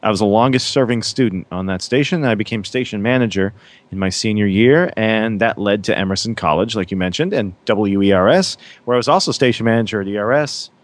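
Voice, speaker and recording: A man's voice: lowish voice, a little fast, no room sound. A man in his thirties from Massachusetts, a radio DJ chatting as a guest in a casual interview, rambling with many fillers and restarts. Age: 30s.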